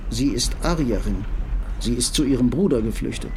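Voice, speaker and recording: An actor playing a proud, dry-witted middle-class merchant on the run, formal and troubled, in a radio drama. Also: male voice, mid-range voice, expressive, moderate pace, darkish tone, slight background noise, close to an everyday voice. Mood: dry, bitter. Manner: formal, ironic, wounded dignity. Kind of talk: German radio play acting.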